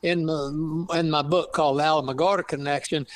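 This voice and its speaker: A man in his eighties with an East Texas accent, a guest author talking slowly with many fillers and restarts in a casual interview. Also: a little high, steady tone. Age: 80s.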